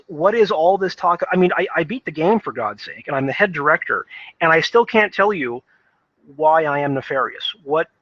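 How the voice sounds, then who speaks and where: Guy with kinda high voice ranting casually about video games, stop-start and repeating himself, dry muffled audio. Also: fairly bright tone, no echo. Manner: halting, repeats and restarts phrases mid-thought. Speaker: gaming video commentator.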